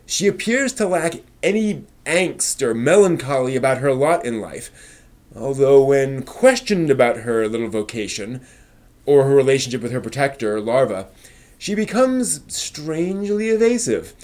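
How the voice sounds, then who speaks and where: A man hosting a review stream, reading scripted commentary with emphatic, measured phrasing and casual conversational asides. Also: mid-range voice, animated, a little mellow. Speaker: host of an anime review live stream.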